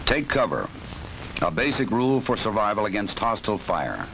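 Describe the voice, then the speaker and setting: American man, 1960s instructional narration, authoritative, measured, fairly low pitch, noisy film soundtrack. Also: big pitch swings, creaky.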